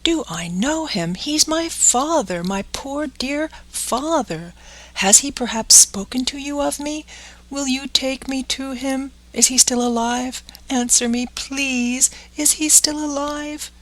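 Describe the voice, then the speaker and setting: A woman's voice: takes it slowly, a bit bright. A woman voicing a lonely wooden puppet boy, pleading and tearful in a storybook reading. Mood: anxious. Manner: tearful, pleading, high-pitched.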